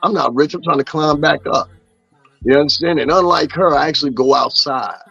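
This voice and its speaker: A man's voice: on the high side, a little fast, creaky, bright, forceful tone, husky, no echo, muffled recording, faint music behind. A man ranting profanely in casual gossip commentary, often asking if his listeners understand what he's saying. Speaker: commentary streamer.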